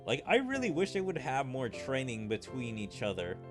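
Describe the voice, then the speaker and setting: A man's voice: mid-range voice, huge pitch swings, moderate pace, over music. A man giving casual commentary as he plays and reads through a story game. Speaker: gaming streamer reading game dialogue aloud. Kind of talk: casual commentary and dramatic read-aloud of game story text.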